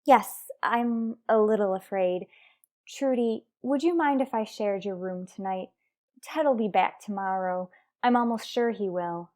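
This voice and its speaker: A woman's voice: mid-range voice, measured pace, mellow, close to an everyday voice. A woman playing an anxious, unhappily married young wife in a radio soap drama, defensive with her family. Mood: uneasy, polite.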